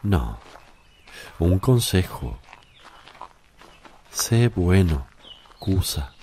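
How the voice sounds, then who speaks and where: An actor playing a gentle, calm male teacher in a religious radio drama, measured, solemn and reassuring. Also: low voice, slight background noise, heavily acted. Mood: gently admonishing.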